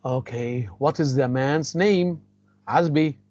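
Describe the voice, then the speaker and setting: A man's voice: medium pitch, slow, a little mellow, no echo, dull audio. A man with an Egyptian accent teaching an English class, repeating phrases and mixing in Arabic. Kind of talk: classroom lecture.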